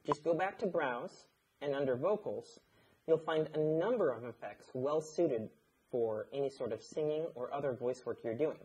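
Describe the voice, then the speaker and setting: Mellow man giving instructions. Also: mid-range voice, a little hiss or hum, poor audio. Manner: measured, instructional. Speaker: audio engineer, instructor. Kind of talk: scripted tutorial narration. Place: indoor studio, seated at a desk.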